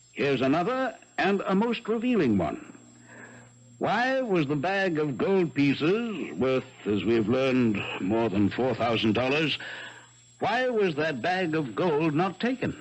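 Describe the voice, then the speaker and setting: An actor in a 1970s radio mystery playing a brilliant, self-assured detective who explains in a measured, didactic way with pauses for effect. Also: male voice, fairly high pitch, sing-song, heavily acted. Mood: measured, instructing. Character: brilliant, self-assured amateur detective gentleman in old Paris. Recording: dull vintage 1970s radio broadcast.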